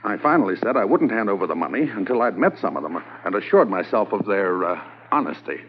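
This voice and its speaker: A confident undercover police corporal. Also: male voice, fairly low pitch, very animated, quick pace. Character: undercover police corporal posing as millionaire, male adult. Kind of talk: 1950s radio drama acting. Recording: muffled lo-fi old radio transcription with faint hiss.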